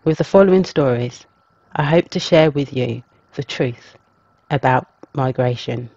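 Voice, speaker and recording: A man reading a scripted documentary narration as a storyteller, in a measured, even way. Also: medium pitch, dull audio, a little hiss or hum. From England.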